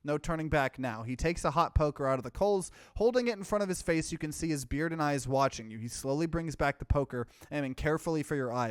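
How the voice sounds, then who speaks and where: A man's voice: on the high side, dry recording, studio quality. A man hosting a let's play, casually commenting and reading game text aloud with expression.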